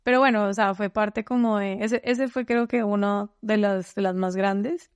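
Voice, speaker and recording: A talkative Colombian woman, a podcast guest in relaxed conversation. She speaks at an ordinary middle pitch and often stops and restarts her phrases. The recording is close and dry, with no room sound. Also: clear, resonant tone, no room sound. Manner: talkative, frequent restarts and fillers. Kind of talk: casual interview chat.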